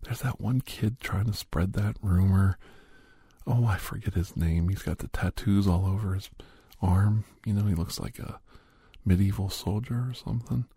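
A middle-aged man hosting a whispered ASMR roleplay, chatting softly and gently teasing the listener as a caregiver. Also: low-pitched, moderate pace, breathy edge, no echo. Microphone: close microphone.

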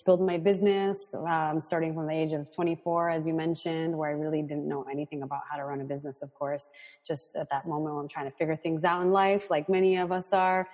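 A woman's voice: sits low, fairly even intonation, fast talker, darkish tone. A woman in her thirties from Los Angeles, a guest entrepreneur chatting casually with fillers. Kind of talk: casual interview talk. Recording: long reverb.

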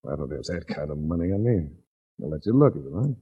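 An actor playing a cool, wisecracking insurance investigator with a dry, hardboiled delivery in 1940s radio drama. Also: male voice, very deep voice, lively intonation, fast, breathy edge, full character voice. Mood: guarded, dry. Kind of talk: 1940s radio detective acting and narration. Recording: muffled old 1940s radio recording.

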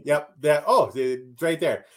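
A man's voice: on the high side, takes it slowly. A man from Minnesota with a Minnesotan accent, co-hosting a casual soccer chat with wry jokes. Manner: wry, joking, animated. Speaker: podcast co-host.

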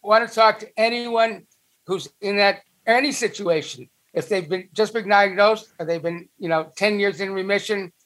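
A man's voice: high voice, moderate pace, a bit bright. A middle-aged man with a Boston accent, talking as an interview guest in a friendly, rambling way. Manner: friendly, rambling, eager to help. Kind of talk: casual interview talk.

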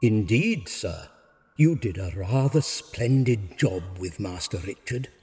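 An actor playing an elderly, loyal butler in an audio drama, refined, formal and politely sardonic. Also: male voice, on the low side, expressive, slow, darkish tone, slightly rough, big-room sound, exaggerated voice. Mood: gently fussing.

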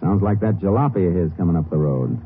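An actor playing a calm, methodical Texas Ranger lawman in a 1950s radio western, laconic, matter-of-fact and authoritative. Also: low-pitched, quick pace, a little hiss or hum. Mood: purposeful. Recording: muffled old radio recording, faint music.

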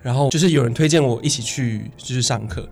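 A young man with a Taiwanese Mandarin accent speaks as an interview guest. His voice is medium in pitch and his delivery is casual. There is music behind him. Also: quick pace, vocal fry, a little mellow. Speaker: guest, stage singer and actor. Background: music under the talk.

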